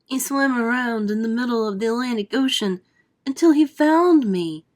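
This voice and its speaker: A woman voicing a vain, showy male centipede who delivers lines in a slow sing-song way. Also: on the high side, expressive. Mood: breathless.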